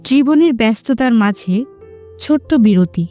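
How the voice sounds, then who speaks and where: A woman narrator reading a scripted romantic story in a poetic, measured way. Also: a little high, expressive, dark tone, faint music behind.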